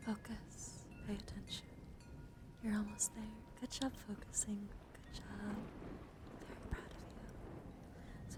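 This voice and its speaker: A woman livestream host speaking in a soothing, mostly whispered ASMR style, breathy and casual, with playful encouragement. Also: mid-range voice. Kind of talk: whispered ASMR card reading with casual chat.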